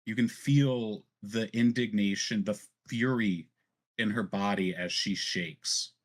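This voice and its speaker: A man narrating a tabletop game as game master, with deliberate, dramatic pauses. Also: lowish voice. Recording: music behind the voice.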